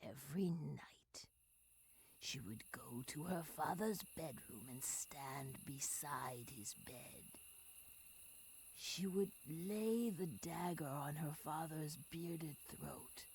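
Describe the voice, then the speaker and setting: A woman playing a mystical sea spirit who recounts a tragic tale theatrically in an amateur game fan dub, drawing out words between breathy whispers and loud swells. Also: low voice, slow, slight background noise. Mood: hushed, sorrowful.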